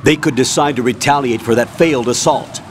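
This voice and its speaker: A man's voice: medium pitch, a little fast, a little hiss or hum, natural voice. An actor playing a stern male colonel giving curt orders over the radio in a video game cutscene. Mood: commanding. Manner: curt, commanding, briefing orders. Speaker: commanding officer on radio.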